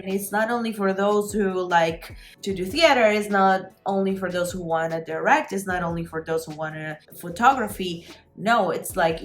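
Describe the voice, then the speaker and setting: Young woman vlogging, chatty and excited, lots of "like" and "you know", soft music under her. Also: mid-range voice. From Peru. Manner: chatty, enthusiastic, many fillers. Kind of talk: casual talking to camera.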